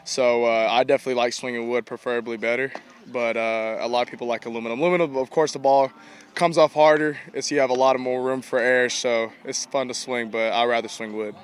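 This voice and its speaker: A young man, a college ballplayer giving casual, informal interview answers with halting repetitions. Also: medium pitch, fairly even intonation. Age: young adult. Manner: informal, halting, repeats himself. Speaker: college baseball player being interviewed. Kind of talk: casual post-game style interview answers. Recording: clear outdoor ambient noise.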